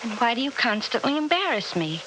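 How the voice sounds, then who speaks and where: A haughty, mature society woman with a fairly high voice. She is formal and wry, quick to scold. The acting is 1960s radio drama, heard on a noisy old recording. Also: very animated, sharp tone. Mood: reproachful. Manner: formal, pompous, crisp reproach.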